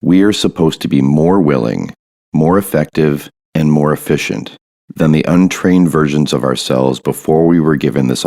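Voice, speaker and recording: A man hosting a podcast, speaking in a measured, earnest way as he introduces and questions a guest. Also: a bass voice, creaky, darkish tone. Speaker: podcast host. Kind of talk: scripted monologue and interview questions.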